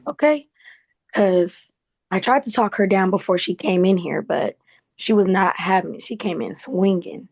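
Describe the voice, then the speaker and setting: A woman's voice: mid-range voice, moderate pace, creaky, dry recording. Dull, dry recording of a woman acting a candid colleague. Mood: concerned, frank. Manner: colloquial, frank. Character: candid, protective, sassy woman office colleague. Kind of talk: naturalistic table read acting.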